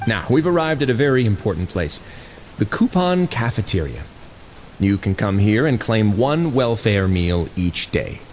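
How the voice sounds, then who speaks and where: An actor playing a composed, mysterious prison duke in a video game, speaking in a polite, deliberate, authoritative way over music. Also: male voice, fairly low pitch, sing-song, background noise. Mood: calm, explaining. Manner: measured, polite, smoothly deliberate. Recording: music behind the voice.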